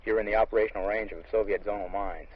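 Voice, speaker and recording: A man's voice: on the low side, steady tone, quick pace, breathy edge. An American man, an army lieutenant, explaining things matter-of-factly in an interview outdoors in the field. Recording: dull lo-fi vintage field recording.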